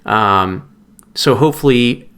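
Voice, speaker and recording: Male musician guest, smooth clear voice, reflective and halting, casual interview delivery. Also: mid-range voice, fairly bright tone.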